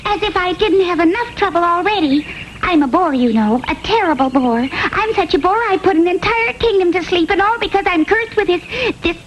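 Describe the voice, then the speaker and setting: Very high-voiced, vain cartoon maiden. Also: female voice, a little fast, a little hiss or hum, rough recording. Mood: dismayed. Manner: gushing, self-admiring, sing-song. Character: vain, sunny young fairy-tale maiden, chatty and self-adoring. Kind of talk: classic TV cartoon voice acting.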